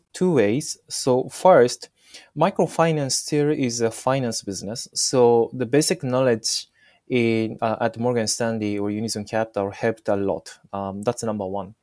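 A man's voice: mid-range voice, darkish tone, no room sound, clean studio sound. A man in his forties with a Japanese accent, speaking English as a non-native, answering interview questions as a company founder with frequent hesitations. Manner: hesitant, many fillers and restarts.

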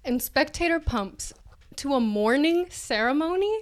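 A woman's voice: sits high, lively intonation, unhurried. A woman co-hosting a podcast, reading an essay aloud in a theatrical way and breaking off into casual banter. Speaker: podcast co-host.

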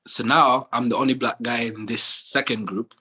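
A young man from Kenya in casual chat, telling stories in a relaxed way with many fillers and restarts. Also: medium pitch, moderate pace, breathy edge.

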